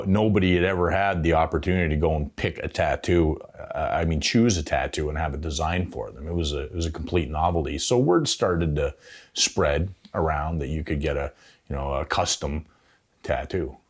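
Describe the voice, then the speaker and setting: A Canadian man in his fifties telling stories casually as an interview guest, in a halting, thoughtful way. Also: very deep voice. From Ontario, Canada. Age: 50s. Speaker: veteran tattoo artist, interview guest. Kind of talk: casual reminiscing, storytelling.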